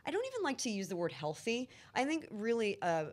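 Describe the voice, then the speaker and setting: A woman's voice: fairly high pitch, wildly swooping pitch, a little fast. A middle-aged woman from Egypt, talking casually as a guest chef, chatty with frequent ums and laughs. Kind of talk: casual interview chat.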